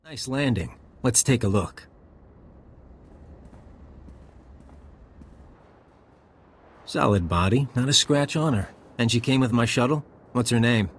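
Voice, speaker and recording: A man's voice: mid-range voice, moderate pace, background noise, lightly acted. A man acting a sardonic, ruthless intelligence operative in a video game, with a dry, smug, casually menacing delivery. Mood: dry, brisk.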